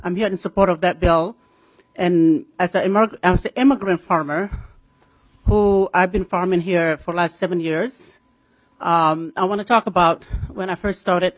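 A middle-aged woman with a Somali accent giving earnest, halting public testimony, repeating phrases for emphasis. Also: fairly low pitch, fairly even intonation, dry recording, poor audio. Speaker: farmer testifying at a legislative committee.

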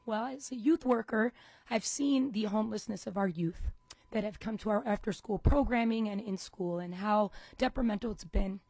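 A woman's voice: mid-range voice, moderate pace, darkish tone, husky, no room sound, poor audio. Passionate woman legislator, dry sound. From Minnesota, US. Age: young adult to middle-aged. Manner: passionate, emphatic. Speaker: state representative. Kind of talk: committee introduction speech.

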